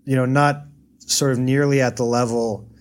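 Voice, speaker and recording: American man, interview guest, mid-pitched, loose and thoughtful, plenty of ums. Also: little pitch movement, moderate pace. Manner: thoughtful, hedging with many fillers. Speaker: guest, data journalist. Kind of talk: casual interview answers.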